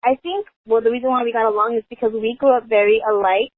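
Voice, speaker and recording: A woman's voice: on the high side, steady tone, quick pace, no room sound. A woman from the Los Angeles area, a guest who tells her story in a chatty, casual way and often says "you know". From Los Angeles area, United States. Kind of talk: casual conversation. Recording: dry, phone-like narrow sound.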